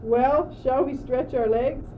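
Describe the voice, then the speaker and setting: A dim, anxious courtier, acted by a teenage girl in an amateur radio play. The voice is fairly high and plaintive. The sound is dull, with clear noise throughout. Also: slow. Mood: idle. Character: naive, anxious, dim male courtier who follows along. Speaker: student actor. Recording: dull, noisy old amateur recording.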